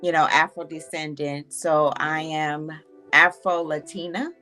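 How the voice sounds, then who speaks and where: A young adult woman from Honduras, a guest speaking slowly and candidly about her own life over a video call. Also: deep voice, flat delivery, pushed and bright, faint music behind. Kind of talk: casual personal storytelling.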